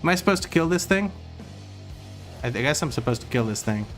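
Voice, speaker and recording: A man's voice: on the high side, brisk, faint music behind. A man from Croatia who sounds American, chatting casually with his stream chat while gaming and reading out messages. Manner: relaxed, rambling, reads chat aloud, stumbles and restarts.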